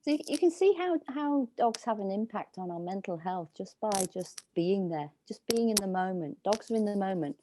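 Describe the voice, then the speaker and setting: A woman dog trainer giving slow, soothing instructions over a video call, with a calming voice. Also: medium pitch, slight background noise, low-quality recording. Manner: soft, slow, soothing coaching. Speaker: dog trainer leading a workshop.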